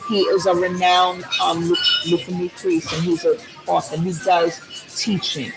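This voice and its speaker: A woman guest with a loud, strong voice, talking earnestly and thoughtfully with frequent ums in a huge, loud space. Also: on the low side, little pitch movement, moderate pace, creaky, over music, rough recording. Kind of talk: reflective personal conversation.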